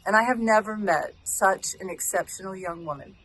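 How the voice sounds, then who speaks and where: Woman, mid pitch, formal recorded tribute, proud tone. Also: normal speed, a bit bright, poor audio. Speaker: mentor giving a recorded message. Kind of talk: prepared video message.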